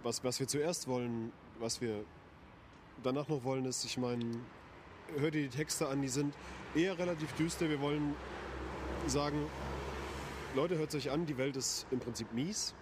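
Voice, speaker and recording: A man's voice: mid-range voice, normal speed, long pauses, background noise. A man in a band chatting in a casual interview, dropping dry, teasing remarks. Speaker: band member.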